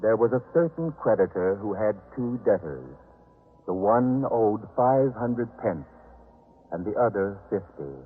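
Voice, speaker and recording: An actor playing a calm, authoritative prophet and teacher in a 1950s radio drama, speaking solemnly in archaic diction. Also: male voice, on the low side, a little slow. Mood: solemn teaching. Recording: noisy, muffled phone-like 1950s radio recording.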